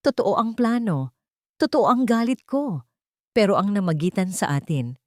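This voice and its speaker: A woman's voice: mid-range voice, expressive, normal speed, darkish tone, dry recording, clean studio sound, pitched above the performer's own voice, lightly acted. A woman voicing a wounded, determined young woman who speaks earnestly in an audio love drama. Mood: pleading, shaken. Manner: earnest, restrained.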